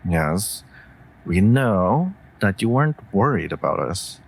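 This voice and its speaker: A man voicing a calm, loyal wolf familiar with dry humour in a fantasy audio drama, talking in a measured, wry, reassuring way. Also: low-pitched, vocal fry, full character voice. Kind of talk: scripted roleplay audio drama addressed to listener.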